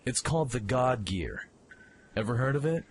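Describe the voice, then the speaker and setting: A man playing a brash, eager young mech pilot in an audio drama, informal and excitable in his delivery. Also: mid-range voice, normal speed, slight background noise, close to an everyday voice. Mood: curious, insistent. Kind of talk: fan audio drama acting.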